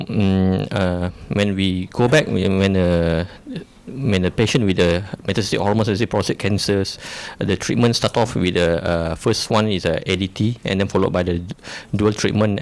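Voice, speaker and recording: A young man from Malaysia, a resident giving a debate opening statement, hesitant with many fillers and restarts. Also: low voice.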